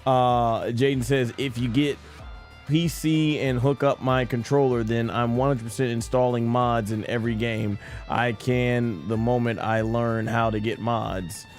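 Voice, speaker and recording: A man's voice: medium pitch, steady tone, unhurried. A man giving casual live commentary as a gaming streamer. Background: game music.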